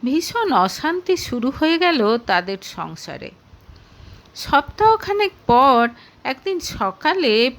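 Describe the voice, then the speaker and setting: A woman reading a scripted romantic drama story aloud as an expressive narrator. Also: a little high.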